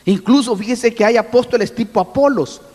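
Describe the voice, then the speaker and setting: A man preaching a sermon with an animated manner, often calling out to his listeners as brother. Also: extremely high pitch, a little fast, in a large hall. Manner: animated, addresses listeners as brother, acts out voices. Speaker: apostle preaching.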